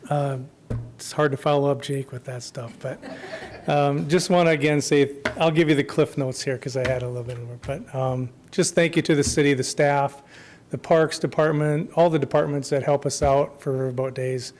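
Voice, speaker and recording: A man speaking as a festival co-chair before a city commission, his voice going hoarse, informal with many ums, trailing off quietly at the ends of phrases. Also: mid-range voice, steady tone, moderate pace, a little hiss or hum. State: losing his voice. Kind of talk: public comment at a council meeting. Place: council chamber.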